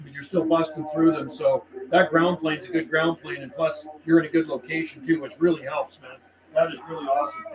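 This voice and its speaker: A man's voice: on the high side, low-quality recording. A middle-aged Canadian man hosting a casual live radio stream, chatting in a friendly, laid-back way and calling out on CB channels. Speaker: livestream host and CB radio operator. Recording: clear background noise, radio ambience. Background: CB radio noise and ambience.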